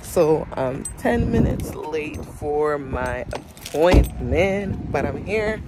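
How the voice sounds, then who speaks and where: Slow-talking Jamaican woman vlogger. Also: low-pitched, animated, heavy background noise. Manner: chatty, rambling, addresses viewers as guys. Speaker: lifestyle vlogger. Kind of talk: casual vlog talk to camera. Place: in a car, then a nail salon.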